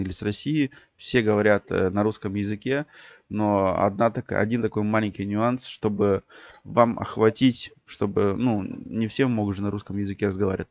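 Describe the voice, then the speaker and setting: A man's voice: lowish voice, darkish tone, no echo. A man hosting a livestream, explaining things in a rambling way full of fillers. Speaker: stream host. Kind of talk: livestream chat.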